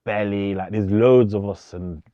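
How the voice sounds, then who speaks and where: Deep-voiced young man. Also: steady tone, a little slow, no echo, dull audio. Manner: informal, slangy, repeats himself. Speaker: DJ, interview guest. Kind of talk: casual documentary interview.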